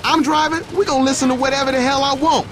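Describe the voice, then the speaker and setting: An actor playing a cocky, touchy young male gangster in a video game, foul-mouthed and animated. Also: very high-pitched, fast, heavy background noise. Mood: cocky, irritated. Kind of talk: video game cutscene acting.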